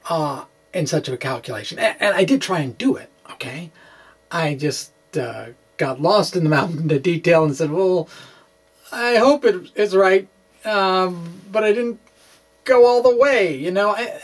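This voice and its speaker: A middle-aged man presenting a blog aloud with rambling asides, speaking in a halting, hesitant way and often correcting himself. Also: a little high, slightly rough. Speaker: physics blogger and vlogger. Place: small indoor room with bookshelves.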